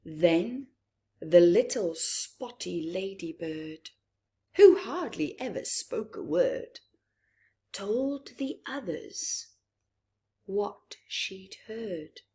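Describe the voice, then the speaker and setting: A woman reading a picture book aloud to children, deliberate and expressive, with long pauses and small asides. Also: medium pitch. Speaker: children's storytime reader.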